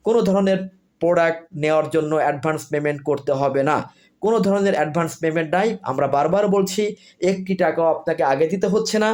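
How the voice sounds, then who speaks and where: Fast, high-pitched Bangladeshi salesman. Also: darkish tone. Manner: repetitive, insistent, with list-like phrasing. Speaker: product seller. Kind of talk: informal product demonstration sales pitch.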